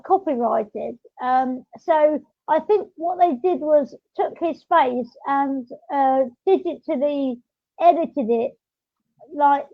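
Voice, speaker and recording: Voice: high voice, no room sound. A podcast host chatting casually in a hesitant, rambling way. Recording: muffled lo-fi audio.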